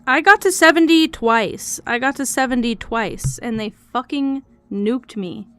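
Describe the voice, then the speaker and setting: A young woman with a hoarse throat, chatting casually and swearing while she streams and sketches. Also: on the high side, unhurried, a bit bright. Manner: swearing, talkative, complaining. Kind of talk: casual livestream chat while drawing.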